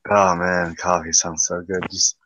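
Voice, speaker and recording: Deep-voiced man in his thirties, stammering. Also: little pitch movement, moderate pace, sharp tone, slight background noise, low-quality recording. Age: 30s. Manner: stammering, restarts a lot, laid-back. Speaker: livestream co-host. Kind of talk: casual chat.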